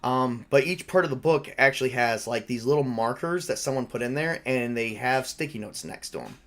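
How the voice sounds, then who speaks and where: A man with an average-pitched voice acts as game master for a tabletop role-playing game. He narrates scenes and talks through rules in a casual, conversational style. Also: expressive, a little fast, a bit bright.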